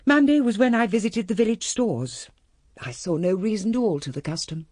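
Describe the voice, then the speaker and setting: An actress playing a reserved lady of the house with an upper-class English accent, measured and politely guarded in a radio drama. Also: medium pitch, lively intonation, a little mellow, close to an everyday voice. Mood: reflective. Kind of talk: radio drama, first-person narration and dialogue.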